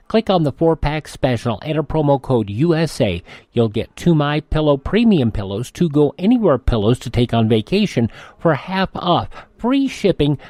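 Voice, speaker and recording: A man's voice: mid-range voice, a little mellow, no echo. A man hosting radio, announcing and reading endorsements with folksy direct address. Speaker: radio show host.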